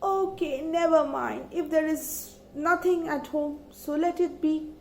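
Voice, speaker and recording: Woman voicing a hungry, crafty soldier, high-pitched. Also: measured pace, gravelly, echoey room. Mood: resigned. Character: hungry, tired soldier, polite and crafty man. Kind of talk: children's folktale storytelling.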